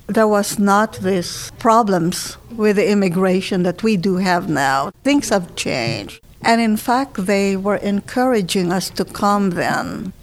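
A woman in her seventies from the Philippines, with a Filipino accent, telling her life story in a measured, reflective way. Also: mid-range voice. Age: 70s. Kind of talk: oral history personal narration.

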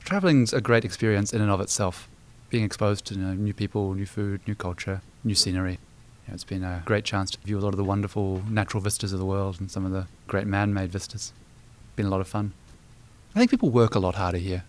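A man's voice: deep voice, fast. A man in his twenties with a New Zealand accent, chatting casually about his life, with many fillers. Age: 20s. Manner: relaxed, chatty, many "you know" fillers. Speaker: interviewee. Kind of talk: personal storytelling.

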